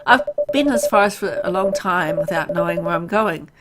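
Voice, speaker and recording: A woman's voice: on the low side, background noise, natural voice. A woman voicing a grieving, vengeful father haunted by guilt, reading a visual novel aloud in a dramatic, brooding manner. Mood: weary. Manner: dramatic, brooding, deliberate phrasing. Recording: music under the voice.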